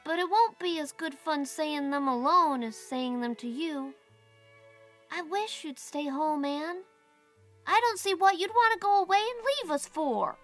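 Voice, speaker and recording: A woman's voice: sits high, unhurried, soft background music. A woman playing a stubborn, inquisitive little boy in an audiobook, with a blunt, insistent, childish way of talking. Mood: stubborn protest. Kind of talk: audiobook character acting.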